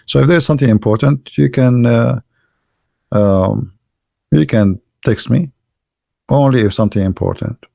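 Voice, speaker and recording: A man who speaks Arabic natively, hosting a livestream in non-native English, telling anecdotes and chatting casually in an animated, gravelly voice. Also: medium pitch, a little slow, long pauses, no room sound, clean studio sound. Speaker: livestream host. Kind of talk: casual anecdotes and chat with live viewers.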